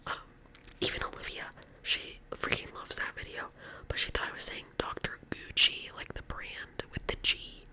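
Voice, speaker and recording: A young woman from California with a Californian accent, whispering ASMR chit-chat in a rambling, chatty way full of filler words while she does her nails. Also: normal speed. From California, USA. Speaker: ASMR creator.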